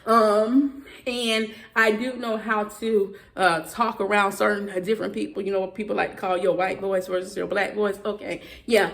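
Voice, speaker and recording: Fast-talking Southern woman vlogger. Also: mid-range voice, little pitch movement, creaky. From Southern United States. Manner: fast, emphatic talk with repeated "okay" and restarts. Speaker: YouTuber. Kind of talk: heated casual commentary to viewers.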